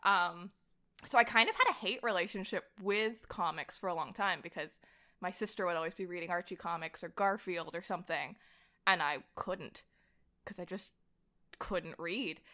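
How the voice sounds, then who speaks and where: A woman's voice: lowish voice, moderate pace, bright, forceful tone. A young adult woman telling personal stories in a casual chat as a podcast guest, acting out bits of what people said. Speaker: podcast guest, voice actor and director.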